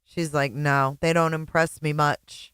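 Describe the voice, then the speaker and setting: Slow-talking low-voiced woman reacting to clips. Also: flat delivery, fairly bright tone, a little hiss or hum. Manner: chatty, reacts with gasps and asides. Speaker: commentary vlogger reacting to clips. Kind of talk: casual reaction commentary.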